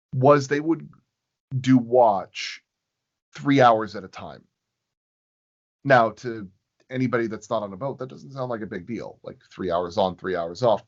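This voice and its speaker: Man, unhurried pace, reflective halting storytelling, conversational, slightly muffled lo-fi recording. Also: medium pitch. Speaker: podcast guest.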